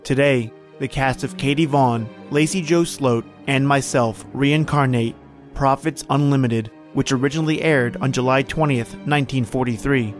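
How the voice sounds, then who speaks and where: A man's voice: mid-range voice, moderate pace, soft background music. A man hosting a podcast, delivering a scripted, dramatic introduction. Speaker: podcast host.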